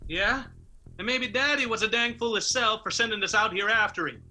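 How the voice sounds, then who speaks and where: A man's voice: high voice, fairly quick, sharp tone. An actor playing a dutiful, peacekeeping mountain man in a radio drama, folksy and steadying, with an Appalachian accent. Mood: firm, chiding. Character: dutiful, peacekeeping mountain brother, Jim McCoy.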